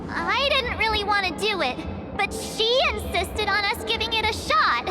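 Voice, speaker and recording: A woman's voice: very high-pitched, expressive, normal speed. A woman voicing a perky, easily flustered teenage girl adventurer in a chatty video game scene. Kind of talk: video game story dialogue. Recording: loud music behind.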